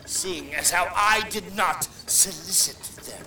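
An actor in an audio drama playing a small, ugly, menacing villain who speaks in a curt, coldly formal and hostile way. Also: male voice, high voice, slow, bright, forceful tone, distant mic, faint music behind, full character voice. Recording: echoey, set back from mic.

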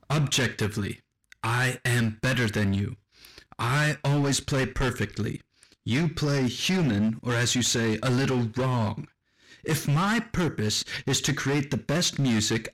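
Radio drama role: cold, condescending machine, male actor, mid-pitched, very measured and slow, bent on control. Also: natural voice, low-quality recording. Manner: deliberate, condescending. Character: cold, superior music-making machine with ambitions of control.

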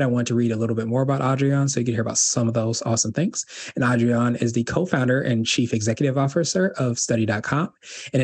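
A man's voice: medium pitch, a little mellow. A man hosting an interview podcast, speaking in an upbeat, eager manner. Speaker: podcast host.

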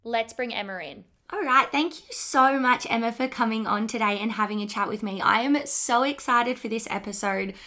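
Bright, croaky Australian woman podcast host. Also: fairly high pitch, moderate pace. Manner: enthusiastic, gushing. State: croaky from an early start. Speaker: podcast host, health teacher. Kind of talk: upbeat podcast interview.

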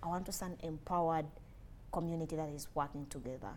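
저음의 우간다 억양 젊은 여성. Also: female voice, low voice, quick pace, a little mellow, a little hiss or hum. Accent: Ugandan. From Uganda. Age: 20s. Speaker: interview guest, lab technologist. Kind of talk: casual interview conversation.

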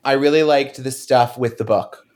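Animated man in casual podcast chat. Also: medium pitch, normal speed. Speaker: podcast panelist.

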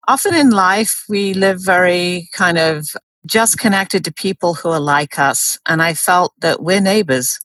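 Low-voiced woman hosting an earnest interview. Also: no room sound. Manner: earnest, gently probing. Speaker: host. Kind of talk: interview, warm introduction.

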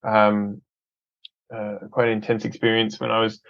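Australian man, interview guest, flat steady delivery, muffled lo-fi sound. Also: lowish voice, normal speed, gravelly, no room sound. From Adelaide, Australia. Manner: earnest, often repeats words as he restarts. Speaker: podcast guest, film company head. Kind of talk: casual interview conversation.

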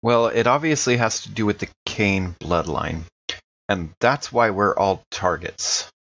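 A man's voice: on the low side, a little slow, a bit bright. A man chatting casually and improvising in character as a player in a roleplaying audio drama, on slightly muffled audio. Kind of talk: casual in-character talk and game chat.

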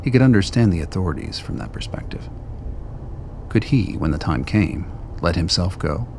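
A man's voice: low voice, animated, moderate pace, darkish tone, slight background noise. A man reading scripted narration for a radio drama as its narrator.

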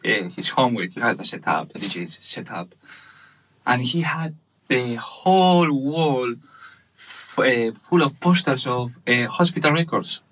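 Man in his thirties, Spanish accent, bright tone, halting, lots of fillers, casual interview guest. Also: medium pitch, animated, breathy edge. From Spain. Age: 30s. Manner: halting, searching for words with many fillers. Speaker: guest, webshop manager.

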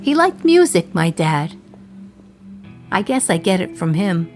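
A woman's voice: fairly low pitch, sing-song, a little slow, faint music behind. An actress playing a sardonic, resentful jailed woman in her thirties from 1950s New York, confiding her memories in a radio play monologue. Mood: fond, wistful.

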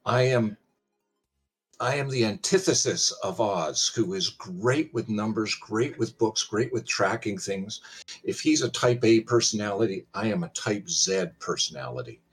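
An older man with a deep, somewhat husky voice talks casually as a guest on a show. He rambles from point to point, poking fun at himself with dry humor. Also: animated, a little slow. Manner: rambling, self-deprecating, wry humor. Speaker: pitching guest on a game panel show. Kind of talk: casual chat.

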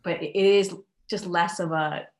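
A woman's voice: on the low side, fairly even intonation, unhurried, echoey room. A young American woman chatting as a podcast guest, friendly and talkative, with frequent ums and little laughs. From United States. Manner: chatty, laughs often, many ums and restarts. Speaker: podcast guest, crochet designer. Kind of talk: casual interview chat.

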